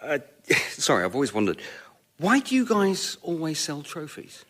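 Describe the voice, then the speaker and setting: An actor playing a hesitant, apologetic shop customer in a radio comedy sketch, halting and conversational. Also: male voice, fairly high pitch, wildly swooping pitch, fairly bright tone, full character voice. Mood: curious, hesitant. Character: hesitant, easily persuaded male shop customer.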